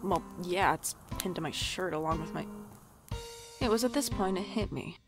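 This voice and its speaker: A woman's voice: on the low side, normal speed, music in the background, lightly acted. A woman playing an anxious, sarcastic young shop worker in an audio drama, with wry, exasperated narration and dialogue. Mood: uneasy, musing. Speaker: podcast drama lead and narrator.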